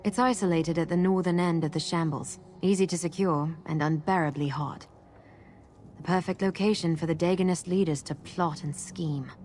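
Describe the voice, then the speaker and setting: A woman acts as a guarded, determined guide in a fantasy game. Her voice sits low, and she speaks with measured resolve over faint music. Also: fairly even intonation, a little mellow, lightly acted. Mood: wary, informative.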